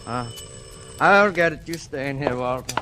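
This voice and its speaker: An actor playing a mild, concerned friend with a soothing, hesitant manner in a 1940s radio suspense drama, on an old recording. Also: male voice, medium pitch, expressive, normal speed, bright, forceful tone. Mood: helpful. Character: mild, concerned male friend of a distraught husband. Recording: old radio recording, faint noise, clicks.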